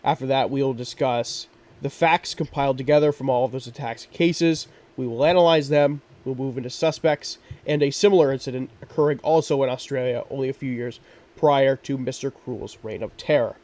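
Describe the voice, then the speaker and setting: A man's voice: mid-range voice, brisk, darkish tone, recorded from a distance. A man hosting a true crime podcast, sounding congested and stuffy from allergies, talking earnestly with frequent ums and restarts. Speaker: podcast host.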